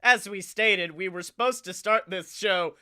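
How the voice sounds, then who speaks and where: A man's voice: high voice, unhurried, sharp tone. A man co-hosting a comedy podcast, chatting casually in a playful, teasing manner. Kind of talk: casual comic chat.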